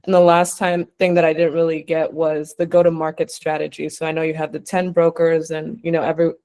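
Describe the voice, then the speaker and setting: A woman's voice: low voice, level tone, a little fast, dark tone. A woman from Uganda, an investor giving spontaneous, conversational feedback with probing questions. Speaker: investor judging pitches.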